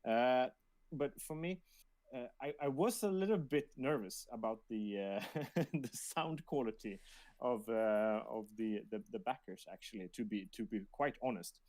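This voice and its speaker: A man co-hosting a casual livestream, speaking in a halting way and often repeating his words. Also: medium pitch, darkish tone, no room sound. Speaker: livestream co-host reading backer questions.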